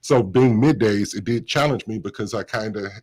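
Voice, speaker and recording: Deep-voiced upbeat radio man. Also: normal speed. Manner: upbeat, animated storyteller. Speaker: radio host, guest. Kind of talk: casual radio interview. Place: radio studio.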